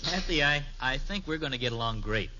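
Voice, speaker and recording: A man's voice: medium pitch, animated, a little fast, lightly acted. A man playing a friendly, boyish college student babysitter in a 1950s radio sitcom, chummy and eager. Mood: friendly, breezy. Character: friendly, breezy college boy working as babysitter. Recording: noisy, muffled old radio recording.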